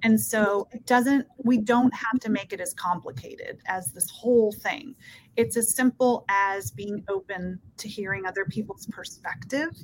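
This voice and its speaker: A woman's voice: mid-range voice, a little slow, a little hiss or hum, low-quality recording. A woman in her thirties from Peru, talking earnestly as an interview guest and often checking in with "right?". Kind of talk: casual interview talk.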